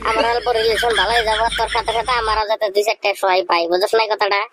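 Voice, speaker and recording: A man voicing a scheming, pushy village bachelor in a comic cartoon, with a pitched-up voice and a rural regional Bengali accent, talking in a colloquial, badgering way. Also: steady tone, very fast, over a noisy crowd. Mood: urging.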